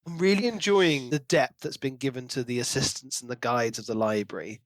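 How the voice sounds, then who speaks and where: A man's voice: medium pitch, brisk, dry recording. A man co-hosting a podcast, chatting casually and enthusiastically about a book. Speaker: podcast co-host.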